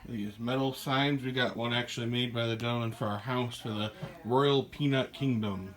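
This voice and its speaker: A man hosting a podcast, casually walking through a small craft store and describing it, with relaxed, rambling talk full of fillers. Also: medium pitch, normal speed. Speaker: podcast host giving a store tour. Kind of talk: casual walkthrough narration.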